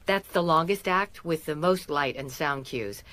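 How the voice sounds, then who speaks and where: An actress playing a loyal, capable detective's assistant in a radio mystery, earnest and playfully wry. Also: female voice, deep voice, unhurried, pushed and bright. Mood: teasing, businesslike. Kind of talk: radio mystery drama acting.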